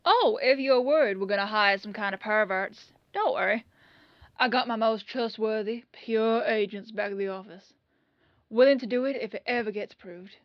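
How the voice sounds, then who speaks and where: Woman doing a breezy detective lieutenant, kinda high voice, casual folksy talk, audio roleplay, slightly muffled recording. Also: normal speed, dry recording, lightly acted. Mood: reassuring, firm.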